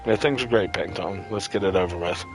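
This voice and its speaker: A man's voice: sits low, a little fast, creaky. Sarcastic male game streamer, faint music behind. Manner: irreverent, sarcastic, profane. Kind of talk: casual live gameplay commentary.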